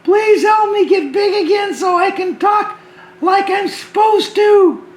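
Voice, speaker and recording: A man voicing a shrunken, embarrassed little creature with a high, squeaky voice, talking fast and nearly shouting to children. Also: reverberant. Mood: embarrassed pleading. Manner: excited, fast, near-shouting. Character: shrunken tiny male creature, embarrassed and pleading.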